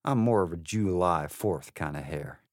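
An actor playing a tall, brash male cowboy hare who talks in a slow drawl. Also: deep voice, lively intonation, no room sound, clean studio sound, voice pushed down.

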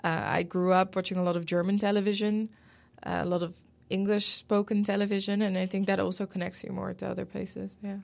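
Dutch woman with a gravelly voice. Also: medium pitch, level tone, fairly quick, darkish tone. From Netherlands. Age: young adult. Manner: thoughtful, many fillers and hedges. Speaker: guest. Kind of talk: casual conversational interview.

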